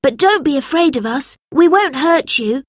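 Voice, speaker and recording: A woman voicing a brave young boy who speaks calmly and reassuringly, in a storybook reading. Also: high voice, moderate pace, no echo, clean studio sound, voice pushed up.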